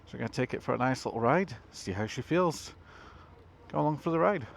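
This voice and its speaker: A man's voice: mid-range voice, animated, fairly quick, gravelly. A man with a slightly blocked nose, chatting casually as he reviews a motorcycle while riding it and describing each move. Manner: chatty, narrates his actions while riding. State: sniffly, a bit blocked nose. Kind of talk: casual ride commentary. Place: on a motorcycle on the road outdoors. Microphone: action camera mounted on chin. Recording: loud engine and wind noise.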